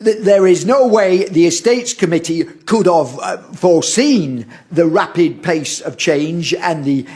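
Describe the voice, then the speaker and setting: An actor playing an officious, condescending council official with a formal manner in an audio drama. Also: male voice, sits high, unhurried, few pauses, a little mellow. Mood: flustered, defensive. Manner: formal, pompous, stammers when challenged. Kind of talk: stage-like audio drama acting.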